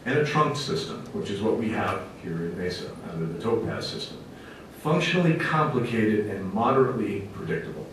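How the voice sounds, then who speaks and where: A man's voice: animated, fairly quick. A man speaking as a fire officer giving a public presentation to a committee, in an emphatic, plainspoken, folksy way. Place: large echoey indoor meeting room. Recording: very echoey room, distant mic, faint rumbling noise.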